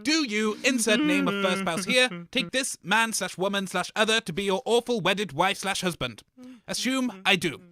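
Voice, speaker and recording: An actor playing a pompous, scheming and dismissive radio host in a scripted comedy, with theatrical delivery. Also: male voice, high-pitched, lively intonation, normal speed, pushed and bright. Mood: brisk officiating.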